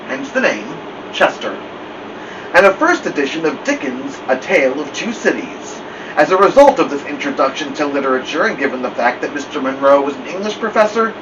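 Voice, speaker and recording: A man reads a children's story aloud as a storytime host, with animated, expressive pitch. He sounds some distance from the mic, with clear background noise. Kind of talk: expressive children's audiobook-style narration. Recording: dull, distant mic, steady air-conditioning-like noise.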